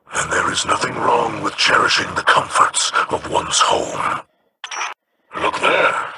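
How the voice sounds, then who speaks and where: An actor playing a gentle, wise old male alien leader in a game, speaking with a gravelly, slow and solemn delivery. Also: medium pitch, noisy background, lightly acted. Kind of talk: game character dialogue.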